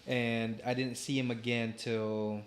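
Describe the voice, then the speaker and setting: A young man from the Philippines, telling stories in a relaxed podcast chat with many fillers. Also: medium pitch, slow, a little hiss or hum. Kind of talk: casual chat.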